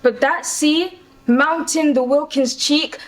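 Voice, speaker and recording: A woman's voice: high voice, slow. A woman performing a distressed, compassionate young daughter pleading with her father in a Shakespearean monologue. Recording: faint background noise.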